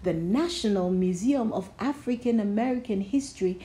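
A woman reading a children's storybook aloud, measured and expressive, with dramatic emphasis on key phrases. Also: mid-range voice, slight background noise. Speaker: storybook reader.

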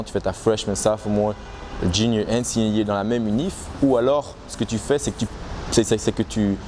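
Relaxed young man. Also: on the low side, slight background noise. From Belgium. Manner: relaxed, casual, with many restarts and fillers. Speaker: basketball player. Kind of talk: talking to camera about his experience. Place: outdoors in front of trees.

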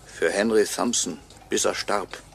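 An actor playing a wry, resigned murdered clock repairer who narrates in measured, sardonic phrases in a 1950s radio play. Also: male voice, fairly low pitch, fairly even intonation, vocal fry. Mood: brooding, bitter. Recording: faintly noisy 1958 radio recording.

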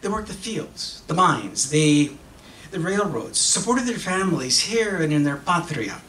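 A middle-aged man giving an expressive, emphatic dramatic reading with deliberate pauses, moving between two languages, at a microphone on a stage. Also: fairly high pitch. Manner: switches between English and Spanish. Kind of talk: theatrical dramatic monologue read from a script. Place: theater stage at a podium. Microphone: microphone on a stand. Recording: echoey hall from far off, faint noise.

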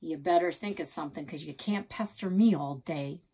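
A woman's voice: low voice, normal speed, mellow, slightly rough. Woman reading as a grumpy old grandfather. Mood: grumbling. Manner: blunt, scolding. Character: gruff old grandfather, grumpy and sharp-tongued.

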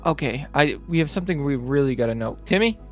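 A man's voice: fairly high pitch, expressive, quick pace, faint music behind, close to an everyday voice. A man voicing a blunt, sarcastic schoolboy sidekick in comedy dialogue, casual and dry. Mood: insistent.

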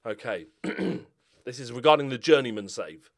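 A man in his forties chatting casually and humorously to viewers as a channel host, animated and jokey. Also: medium pitch, moderate pace. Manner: animated, jokey, sweary, chuckling. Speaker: YouTube gaming channel host. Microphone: desk condenser microphone up close.

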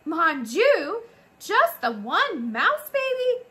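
A woman's voice: extremely high pitch, big pitch swings, very slow, sharp tone, echoey room, voice pushed up, full character voice. A woman voicing a vain, melodramatic French mother mouse with a put-on French accent, sighing and theatrical. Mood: dramatic. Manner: theatrical, sighing, self-pitying.

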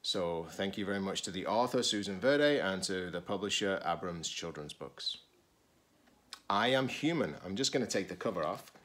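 A middle-aged man, a teacher-librarian, reading a children's picture book aloud deliberately, in short phrases with pauses. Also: low voice, expressive, a bit bright.

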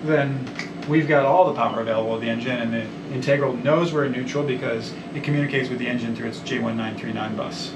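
A man's voice: medium pitch, steady tone, fast. Young guy walking thru boat wiring, lots of ums, echoey cabin, mic a bit far, ac humming. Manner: explains technically, with many ums and restarts. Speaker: marine electrical installer. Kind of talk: technical walkthrough interview. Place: small wooden boat cabin and engine room. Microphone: camera a few feet away. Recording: echoey cabin, distant mic, air conditioning hum.

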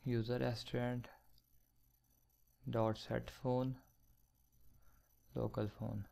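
Man, coding tutor voice-over: gravelly, flat delivery, slow step-by-step dictation of code. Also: medium pitch. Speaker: programming tutor.

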